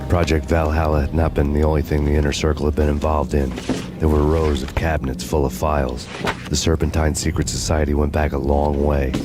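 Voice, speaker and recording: A weary noir detective narrating his own downfall. The voice sits extremely low and barely moves, dry and sardonic in every line, with a steady music score underneath. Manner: dry, sardonic, measured delivery. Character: bitter, weary male cop on a revenge path. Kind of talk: hard-boiled noir narration.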